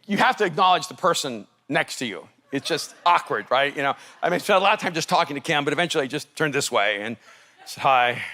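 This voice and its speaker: A man from El Salvador, a native Spanish speaker, preaching as a pastor and telling a story in an animated, laughing manner. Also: on the high side. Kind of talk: storytelling sermon.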